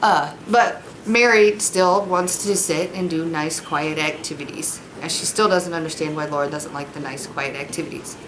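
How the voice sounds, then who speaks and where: A woman's voice: low voice, normal speed, few pauses, echoey room, noisy background. A woman teacher explaining a read-aloud to children in simple, informal language. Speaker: elementary school teacher. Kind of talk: read-aloud lesson with casual explanation to pupils.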